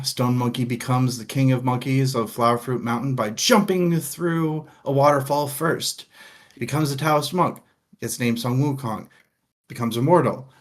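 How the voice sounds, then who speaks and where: A man co-hosting a casual live book discussion, speaking in a jokey, informal, playfully theatrical way. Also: mid-range voice. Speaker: co-host of a book discussion show.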